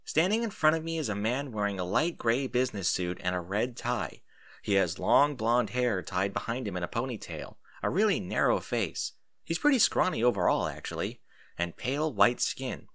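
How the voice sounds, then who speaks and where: A man narrating a first-person story in an animated audiobook style. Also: mid-range voice, normal speed, no echo, clean studio sound.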